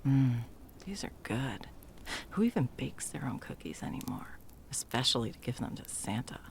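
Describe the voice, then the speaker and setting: A woman's voice: very deep voice, sing-song, normal speed, vocal fry, heavily acted. A woman playing a frazzled, sarcastic, foul-mouthed Christmas delivery elf in a whimsical comedic ASMR roleplay, muttering and often dropping to whispers. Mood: self-justifying.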